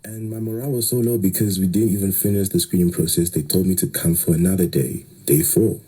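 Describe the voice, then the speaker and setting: A man speaks in a very deep, dark and husky voice. His delivery is weary and matter-of-fact. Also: steady tone, brisk, poor audio.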